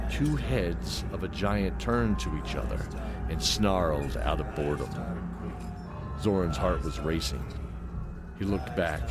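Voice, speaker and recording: Male narrator, lower-pitched voice, dramatic fantasy storytelling, scripted audio drama, loud music behind.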